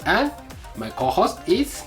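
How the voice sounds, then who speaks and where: A man with a Japanese accent hosting a casual podcast, speaking halting non-native English and backing up his co-host with steady murmurs of agreement. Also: high-pitched, faint music behind.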